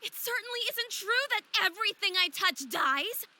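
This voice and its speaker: A woman's voice: very high voice, animated, moderate pace, pushed and bright, exaggerated voice. An actress playing a young fairy woman in a visual novel game, proud and sensitive with a playful streak, speaking very expressively. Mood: tense, defensive. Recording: background noise and ambience.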